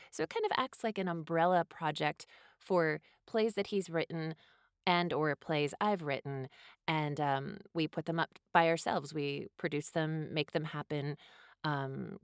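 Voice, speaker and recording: Casting: female playwright in a casual interview, very low-pitched voice, dry recording. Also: smooth, no echo.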